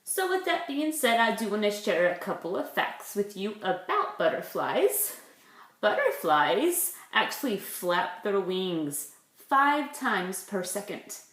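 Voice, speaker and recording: A woman's voice: medium pitch, very animated, slow pace, fairly bright tone. A woman, a preschool teacher leading circle time and reading a picture book, asking simple questions and explaining words for small children. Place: indoors in a room at home. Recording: roomy, echoey home recording.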